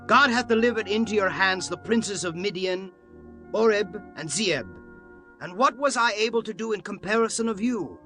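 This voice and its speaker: An actor playing a stern, commanding war leader in a dramatized scripture reading, with declamatory, archaic speech. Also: male voice, sits high, moderate pace, bright, forceful tone, faint music behind. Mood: placating.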